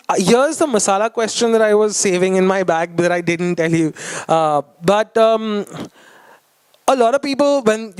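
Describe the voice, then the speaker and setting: A man's voice: high voice, clear, resonant tone, no echo. A man interviewing someone in a conversational style, phrasing his questions in a hesitant, roundabout way.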